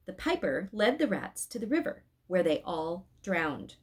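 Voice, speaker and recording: A woman's voice: lowish voice, big pitch swings, unhurried, slightly rough. A woman hosting a read-aloud, narrating a storybook expressively with casual asides. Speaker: storybook reader, host.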